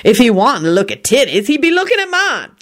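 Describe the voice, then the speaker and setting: A lusty, brash woman full of herself, played by a female narrator in an audiobook. She talks in a folksy, boastful way, with a raised pitch and big swings of intonation. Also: big pitch swings, fairly quick, fairly bright tone. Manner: folksy nonstandard grammar, drawling hums, boastful. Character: brash, lusty, curvy sassy woman, folksy and self-satisfied.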